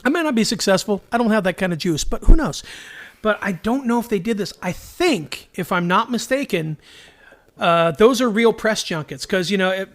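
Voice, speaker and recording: High-voiced man, enthusiastic podcast co-host. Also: animated, normal speed. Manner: enthusiastic, slangy, self-deprecating. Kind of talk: casual chat about superhero shows.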